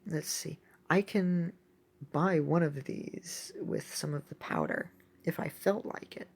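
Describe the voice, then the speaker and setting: A woman giving casual, excitable gameplay commentary as a video host, thinking aloud as she plays. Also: sits low, slow, gravelly, slightly rough. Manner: excitable, exclaiming often; thinks aloud while playing.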